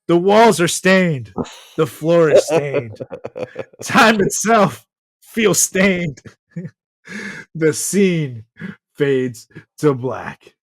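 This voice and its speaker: A man podcast host narrating a comedic story with dramatic pauses, over background music. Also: fairly high pitch, animated, no room sound. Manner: dramatic pauses, drawn-out delivery.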